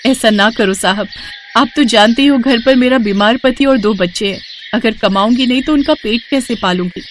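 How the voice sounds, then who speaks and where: A woman's voice: on the high side, normal speed, slight background noise, lightly acted. A woman voicing a poor, devout, caring village wife and mother in an earnest, pleading way for a story. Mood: worried.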